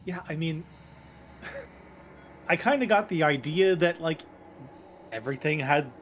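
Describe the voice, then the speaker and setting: A man's voice: fairly high pitch, expressive, takes it slowly, a bit bright, slight background noise. A man playing a tabletop roleplaying game, talking casually and half in character in an amused, hesitant way. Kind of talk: casual improvised in-character chat.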